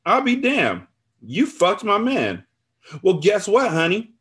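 A man voicing a furious, foul-mouthed woman in an audiobook drama, loud, sassy and confrontational, bent on revenge. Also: mid-range voice, very animated, normal speed, pitched above the performer's own voice. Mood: vengeful, gloating. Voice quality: loud, raised voice. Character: Alice, furious, foul-mouthed, vengeful woman betrayed by her friend.

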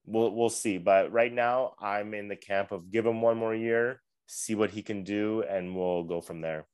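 A man's voice: on the low side, fairly even intonation, a little slow, darkish tone, clean tone. A man co-hosting a casual sports podcast, easygoing, hedging his takes and laughing often. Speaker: podcast co-host.

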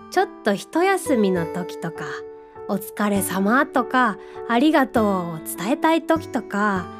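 A friendly storybook-like cat from a radio drama, played by a woman, pitched fairly high. The delivery swings melodically, courteous and gently playful, like a happy little drink seller. Faint music plays behind it. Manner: gentle, polite, slightly playful. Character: friendly, contented talking cat running a drink stall. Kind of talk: Japanese audio drama acting.

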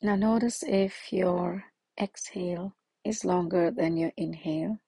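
A woman leading a guided meditation in a slow, soothing manner with long pauses. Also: fairly low pitch, fairly even intonation, dark tone, slightly rough, no room sound.

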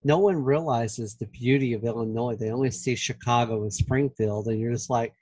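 A man hosting a podcast, easygoing and quick to laugh along with his guest in casual chat. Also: mid-range voice, a little mellow, slightly rough, no room sound. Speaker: podcast host.

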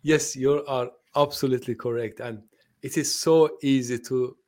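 A man's voice: mid-range voice, steady tone, moderate pace, a little mellow. A man born in Turkey, a native Turkish speaker, talking as a podcast guest in a friendly, hesitant way, with many fillers and restarts. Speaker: podcast guest, translation company owner. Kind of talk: conversational interview. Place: lives in the UK.

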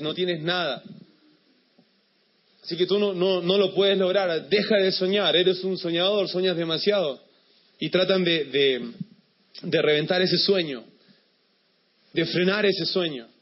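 A man from Chile with a mixed Chilean, Ecuadorian and Uruguayan accent, preaching a sermon to a church congregation in an easygoing, encouraging way. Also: high-pitched. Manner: relaxed, then encouraging and preachy. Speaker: guest pastor. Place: church in Quito. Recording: dull lo-fi sound.

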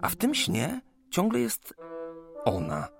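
A man's voice: high-pitched, wildly swooping pitch, takes it slowly, creaky, music in the background, exaggerated voice. A man playing a lovesick, distracted young geometer in a radio play, speaking in a hesitant, earnest, wistful way. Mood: troubled, absent-minded. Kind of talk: Polish radio play acting.

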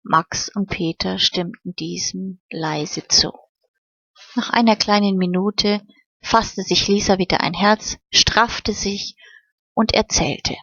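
Slow-reading woman storyteller. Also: mid-range voice, fairly even intonation, a bit bright, dry recording. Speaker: audiobook narrator. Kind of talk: scripted fairy-tale narration. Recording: slightly muffled, dry recording.

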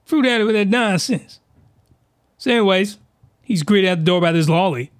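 A man's voice: high-pitched, animated, normal speed, clear, resonant tone. A man hosting a podcast, recapping an anime in a rambling, mock-outraged way, losing his voice as it cracks. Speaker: podcast host.

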